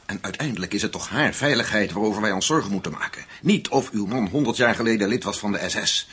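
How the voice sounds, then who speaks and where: An actor playing a composed, shrewd American CIA agent in a radio drama, measured, polite and probing. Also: male voice, lowish voice, a bit bright, breathy edge. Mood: calm, persuasive. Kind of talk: Dutch radio drama acting.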